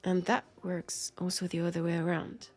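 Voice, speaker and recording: A woman with a French accent playing a wary, grieving, centuries-old vampire detective in an intimate audio roleplay, speaking softly and pensively with pauses. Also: on the low side, level tone. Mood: guarded, firm. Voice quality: breathy, soft. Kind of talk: intimate scripted audio roleplay, addressed to listener. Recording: music under the voice. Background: music.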